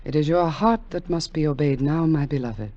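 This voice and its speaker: A woman's voice: low voice, moderate pace, close to an everyday voice. An actress playing an ageless immortal queen, commanding and lovesick, with solemn, formal speech in the style of 1940s radio drama. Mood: tender, commanding. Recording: dull, muffled 1940s radio recording.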